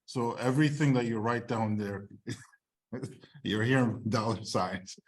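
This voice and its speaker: A man's voice: medium pitch, unhurried. A man speaking as a screenwriter and filmmaker, answering questions informally on an online video call.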